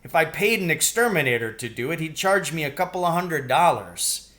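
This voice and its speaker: A man's voice: fairly high pitch, big pitch swings, brisk, a bit bright, pitched above the performer's own voice. Storybook farmer father, folksy and practical, plain-spoken played by a male reader. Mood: matter-of-fact. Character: Mr. Fitzgibbon, practical matter-of-fact farmer father. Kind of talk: acted storybook dialogue.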